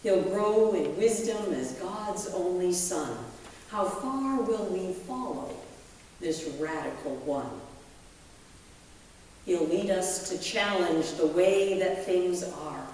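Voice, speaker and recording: A woman pastor reading a poem aloud in a deliberate, measured way with dramatic pauses, recorded in a large reverberant hall. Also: fairly low pitch, expressive, a little mellow, a little hiss or hum. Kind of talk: poetry reading from the pulpit. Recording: very echoey hall, distant microphone.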